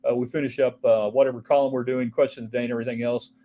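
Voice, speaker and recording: A man's voice: medium pitch, flat delivery, racing, dark tone, highs cut off. A man hosting a casual solo sports talk show, rambling from topic to topic and answering viewer texts. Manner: rambling, offhand, jumps between topics.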